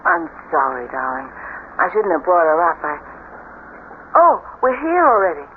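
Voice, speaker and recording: A woman playing a tender, inquisitive, easily frightened new bride in an expressive, emotional radio drama style. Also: lowish voice, moderate pace, a little hiss or hum. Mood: wistful, wondering. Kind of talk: 1970s radio drama acting. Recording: narrow-band old radio broadcast with music.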